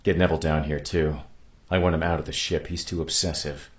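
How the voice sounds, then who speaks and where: A deep-voiced, weary spaceship captain. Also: male voice, steady tone, fairly quick. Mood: uneasy, commanding. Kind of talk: radio play acting.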